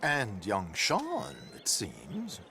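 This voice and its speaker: A man playing a flamboyant, smooth gentleman con artist in a video game, florid and courteous in his theatrical delivery. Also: fairly low pitch, wildly swooping pitch, extremely slow, slight background noise, full character voice. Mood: businesslike. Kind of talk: cinematic video game acting.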